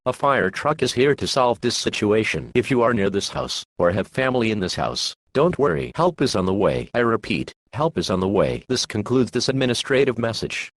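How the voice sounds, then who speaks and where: A man's voice: medium pitch, dry recording. A synthetic man as a dry emergency announcer. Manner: stiff synthetic delivery. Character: male emergency alert announcer.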